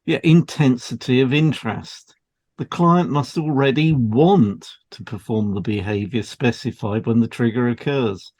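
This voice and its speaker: A man's voice: mid-range voice, measured pace, darkish tone. A man co-hosting an educational podcast, reading scripted material in an explanatory style. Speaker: podcast co-host, hypnotherapist.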